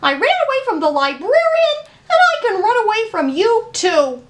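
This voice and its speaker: Woman voicing a high, cheeky little gingerbread man. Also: very animated, normal speed, a bit bright, exaggerated voice. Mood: gleeful taunting. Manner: taunting, chanting. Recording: roomy, distant mic, faint noise.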